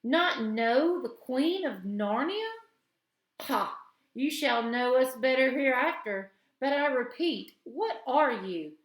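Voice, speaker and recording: A woman's voice: high voice, lively intonation, slow pace, voice pushed up. A woman plays a stern, menacing queen who speaks in a haughty, commanding tone, her voice lifted above the narration. Her lines ring in a roomy space with a noticeable echo. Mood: imperious.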